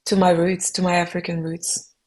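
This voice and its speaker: Soft-toned reflective French-speaking woman. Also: lowish voice, little pitch movement, normal speed. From Belgium. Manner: reflective, searching for words with frequent ums. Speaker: musician guest. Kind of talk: podcast interview answers.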